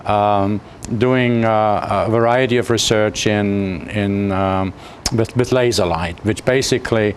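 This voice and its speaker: Halting Austrian man, low voice. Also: fairly even intonation, a little hiss or hum. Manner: halting, many fillers and restarts. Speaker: physics professor, guest. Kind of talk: interview answers.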